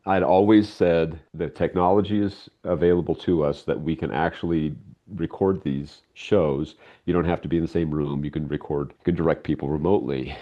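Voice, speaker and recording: A man's voice: very deep voice, fairly even intonation, normal speed, highs cut off. A man telling anecdotes casually as an interview guest, acting out remarks as he goes. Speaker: guest, audio drama creator and director.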